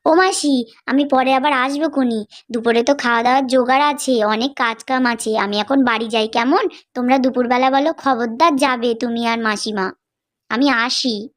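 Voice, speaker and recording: A woman voicing a friendly, hurried young village relative in a cartoon family drama, chatty and insistent. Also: on the high side, natural voice. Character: friendly, hurried young village niece-in-law bringing invitations.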